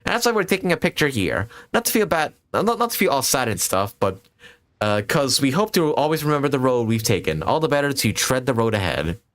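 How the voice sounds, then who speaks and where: A man voicing a small, chirpy, sentimental female fairy companion from a video game, excitable and talking about herself in the third person. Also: medium pitch, brisk. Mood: earnest, nostalgic. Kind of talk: reading video game dialogue in character.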